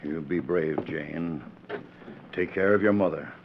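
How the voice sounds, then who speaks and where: An actor playing a folksy, determined Old West sheriff in a 1940s radio western, courteous and plain-spoken. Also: male voice, rumbling low, measured pace. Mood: resolute, kindly. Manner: courteous frontier plain speech. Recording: muffled 1940s radio recording, faint hiss.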